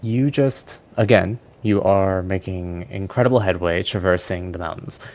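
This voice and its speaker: A man's voice: low voice, moderate pace, a little mellow. A man narrating a tabletop game scene to players as game master.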